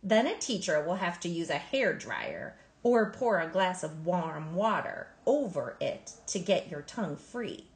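Woman, children's book read-aloud, expressive storytelling, lively intonation, echoing room recording. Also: fairly low pitch, unhurried, hardly any pauses. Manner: animated, emphatic storytelling. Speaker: storybook reader.

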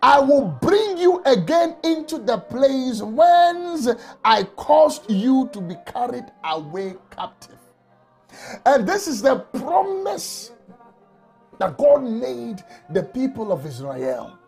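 A man preaching an emotional sermon from a small office on a lapel mic, often shouting and crying out. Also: very high-pitched, very animated, slow pace, vocal fry, soft background music. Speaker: pastor.

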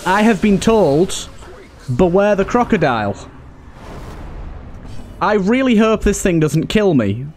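A man's voice: high-pitched, sing-song, slow pace, long pauses, a little mellow, faint music behind. A man giving casual, informal let's play commentary, thinking aloud with some mild swearing. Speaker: gaming video host.

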